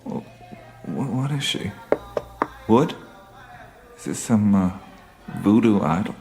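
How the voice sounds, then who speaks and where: An actor playing a drowsy, curious adventurer in a radio drama, with a hesitant, questioning, musing way of talking. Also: male voice, on the low side, animated, slow, vocal fry, exaggerated voice. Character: drowsy, puzzled male adventurer, curious and wry. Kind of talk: naturalistic radio drama acting. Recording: loud music under the dialogue.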